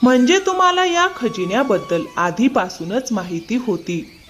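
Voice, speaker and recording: A man's voice: very high-pitched, animated, moderate pace, a bit bright, muffled recording, faint music behind. A man voicing an honest, dutiful younger brother from a village, earnest and plaintive, in a cartoon moral story. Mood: indignant.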